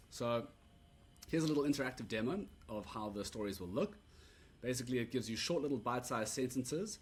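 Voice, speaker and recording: A man giving a relaxed, rambling walkthrough of an app he built, full of "uh" and "so yeah" fillers. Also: mid-range voice, a little hiss or hum. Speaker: app creator demoing his product. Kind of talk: casual screen-recorded product demo. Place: at a computer recording a screen demo.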